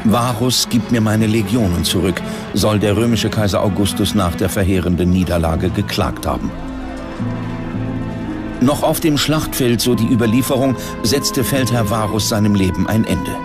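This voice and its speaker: A man's voice: fairly low pitch, normal speed. A man reading scripted documentary narration as a narrator. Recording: music behind the narration. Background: background music.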